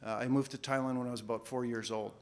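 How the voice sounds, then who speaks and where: A man addresses a conference audience, casual and a little halting. He often doubles his words. English is not his first language. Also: medium pitch, steady tone, a little mellow. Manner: casual, repeats words while speaking. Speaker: conference speaker, financial planner. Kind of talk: conference presentation. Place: conference hall.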